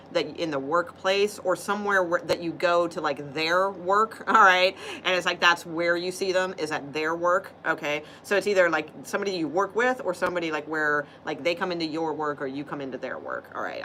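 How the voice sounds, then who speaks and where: A woman's voice: fairly low pitch, sharp tone, slight background noise. Chatty woman reading tarot cards. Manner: rambling, chatty, laughs often, frequent fillers. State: losing her voice. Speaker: tarot reader. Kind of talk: casual live tarot reading.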